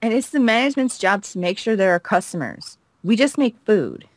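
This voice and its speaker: A woman's voice: mid-range voice, big pitch swings, a little fast, no echo. A woman co-playing a game, reading dialogue aloud in a casual way and chatting between lines. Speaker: co-player reading a character's lines.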